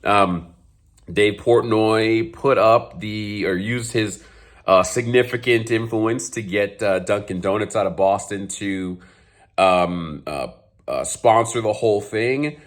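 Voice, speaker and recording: Scratchy-voiced man rambling in a garage. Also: low-pitched. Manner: rambling, many fillers and restarts. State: slightly scratchy voice from a cold. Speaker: golf YouTuber. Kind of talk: casual vlog commentary. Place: home garage.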